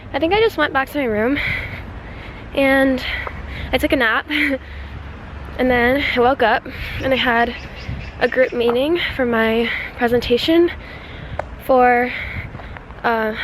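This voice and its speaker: Casting note: bright-voiced woman, stuffy nose, rambling vlog chatter over constant background noise. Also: on the high side, unhurried, pauses often. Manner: chatty, rambling, laughs often. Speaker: vlogger, exchange student. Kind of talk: casual vlog chat to camera. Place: dorm room, then outdoors on campus. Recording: noisy background.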